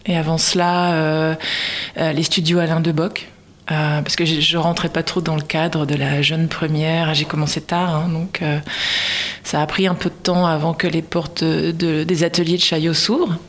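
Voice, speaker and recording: A woman from Nice with a fairly low voice answers interview questions. She speaks haltingly, with plenty of fillers and restarts, and laughs easily between thoughts. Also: little pitch movement. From Nice, France. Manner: hesitant, many fillers and restarts, laughs often. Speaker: actress guest.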